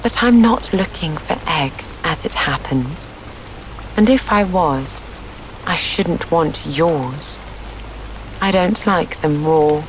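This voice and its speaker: A woman's voice: deep voice, takes it slowly, a little hiss or hum, poor audio. A woman voicing an earnest, polite and puzzled little girl in a storybook. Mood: earnest, hasty. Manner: doubtful, truthful, mildly protesting.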